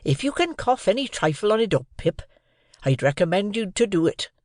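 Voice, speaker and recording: A man reading aloud and voicing a kindly, simple working man who talks in a slow, earnest, homely way. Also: high-pitched, sing-song, no room sound, clean studio sound, pitched above the performer's own voice, heavily acted.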